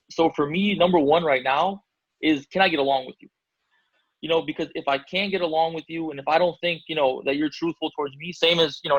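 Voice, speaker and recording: A man's voice: a little high, dry recording, lo-fi sound. A man from Albania, a basketball agent, answering interview questions casually with many fillers and restarts.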